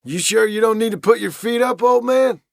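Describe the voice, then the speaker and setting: An actor playing a cheeky, irreverent Old West gang member in a video game, ribbing others playfully. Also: male voice, high-pitched, normal speed, creaky, darkish tone, no room sound, clean studio sound. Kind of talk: video game character barks.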